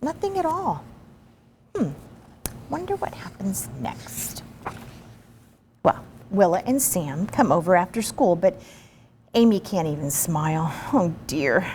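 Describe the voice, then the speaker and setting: A woman giving an expressive, chatty and encouraging read-aloud of a children's picture book, with asides to the listeners. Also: fairly low pitch, unhurried, long pauses, a little hiss or hum. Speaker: story reader.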